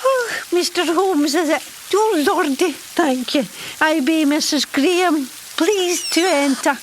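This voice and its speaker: A woman's voice: extremely high pitch, slow, a little hiss or hum. A woman playing a superstitious, hospitable Scottish caretaker of a haunted house in a comedic audio drama, speaking folksy dialect. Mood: welcoming. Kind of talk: comedic old-time radio drama acting.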